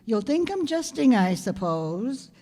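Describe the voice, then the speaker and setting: The sound rings with the long reverb of a hall. A woman acts out a schoolteacher from an old-time radio comedy, wry and quick with a wisecrack. Her voice sits a bit high and swings widely, while the delivery stays dry and sardonic. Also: big pitch swings, warm tone, full character voice. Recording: reverberant hall stage recording.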